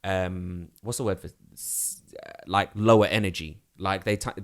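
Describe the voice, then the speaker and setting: A man co-hosting a podcast, energetic and chatty, laughing easily. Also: deep voice, normal speed. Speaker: podcast co-host. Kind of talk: casual chat. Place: dining room.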